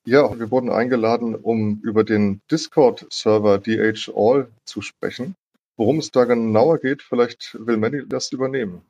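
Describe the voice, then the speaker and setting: A man's voice: medium pitch, steady tone, normal speed, a little mellow, breathy edge, no room sound, dull audio. A man answering informally as an interview guest, in a rambling, self-deprecating way. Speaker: interview guest, digital humanities academic. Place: remote online call.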